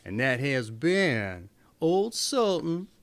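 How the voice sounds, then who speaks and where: A male storyteller reads a storybook in a theatrical style. His voice sits a little high for a man and moves through a very lively range of pitch. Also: slow. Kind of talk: expressive storybook reading.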